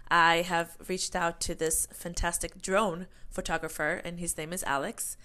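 A woman with a fairly low voice for a woman runs a casual podcast interview. She asks her questions plainly and keeps her guest going with short, encouraging reactions. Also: flat delivery, a little slow, sharp tone. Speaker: podcast host.

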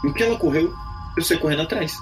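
A man's voice: fairly high pitch, fast, low-quality recording. Brazilian man storytelling over prominent music. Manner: colloquial, acts out his own quoted reactions. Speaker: podcast guest. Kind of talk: animated casual personal storytelling. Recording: music playing behind the voice.

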